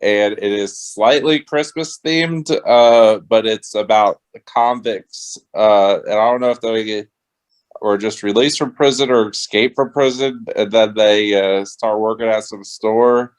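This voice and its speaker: Smooth, low-voiced man chatting. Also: unhurried. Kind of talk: casual chat on a radio show.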